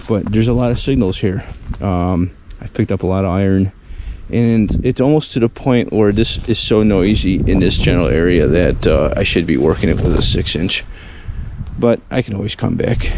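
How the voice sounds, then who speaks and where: Hoarse gravelly man detecting in snow. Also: sits low, noisy background. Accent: Western New York. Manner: rambling, enthusiastic about finds, many fillers. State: hoarse, losing his voice from a cold. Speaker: metal detecting vlogger. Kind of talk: casual narration while detecting outdoors. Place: outdoors in a snowy old park.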